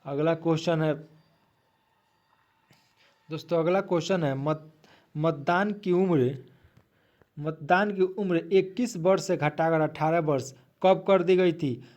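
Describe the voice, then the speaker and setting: A man teaching an exam-prep quiz, reading out questions haltingly and repeating the answers to his listeners. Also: fairly high pitch, darkish tone, highs cut off. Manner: repetitive, asks then restates answers, addresses listeners as friends.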